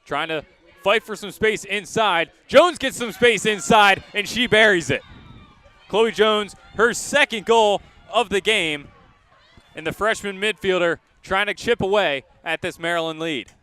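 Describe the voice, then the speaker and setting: A man calling live college lacrosse as a radio play-by-play announcer, in crisp short present-tense phrases, outdoors at a sports field. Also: high voice, measured pace, sharp tone.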